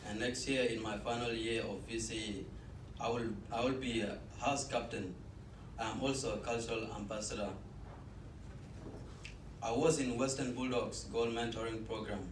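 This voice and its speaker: An eighteen-year-old man from South Sudan, a student cultural ambassador, reading an earnest personal statement with small restarts. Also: medium pitch. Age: teens. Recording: echoey room, distant mic, noisy.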